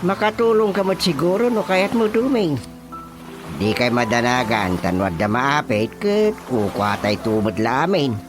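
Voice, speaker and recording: A man acts out an Ilocano village chairman in a radio comedy drama. His mid-range voice swings widely as he talks like everyone's uncle, with music clearly underneath. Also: mid-range voice, expressive, unhurried, close to an everyday voice. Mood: persuading. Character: animated male village chairman, an uncle figure.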